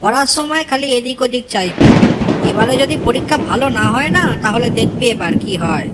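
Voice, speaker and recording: A woman's voice: on the high side, lively intonation, brisk, natural voice. Woman as nagging cartoon mother, very noisy recording. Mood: scolding. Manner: scolding, commanding. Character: stern, nagging village mother. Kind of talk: cartoon dialogue. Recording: very noisy track with clicks.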